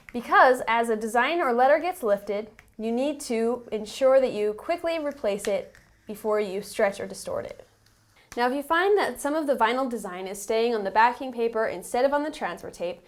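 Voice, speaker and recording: A woman narrating a step-by-step how-to tutorial as an instructor, patient and instructive, stressing the key tips. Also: a little high. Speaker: instructor and company representative in how-to videos.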